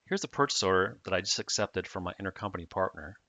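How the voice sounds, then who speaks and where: Bright, low-voiced man narrating a tutorial. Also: animated, quick pace, dry recording. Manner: plain, step-by-step explaining. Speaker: software demonstrator.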